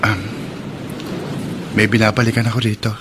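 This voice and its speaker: A man's voice: on the low side, flat delivery, moderate pace, fairly bright tone, close to an everyday voice. An actor playing a melancholy, earnest young Filipino man in a radio drama. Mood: shy, earnest. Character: melancholy, soft-hearted young Filipino man grieving his sister. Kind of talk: Tagalog radio drama acting. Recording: noisy background behind the voice.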